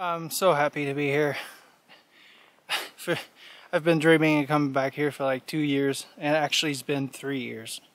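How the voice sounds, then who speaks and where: A cheerful man chats to his camera as he climbs a steep mountain trail outdoors. His voice sits a little high. He rambles casually, full of "uh" and "you know" fillers. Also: fairly even intonation, moderate pace, pauses often, fairly bright tone, no room sound, faint music behind. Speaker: hiking vlogger. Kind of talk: casual talk to camera while hiking.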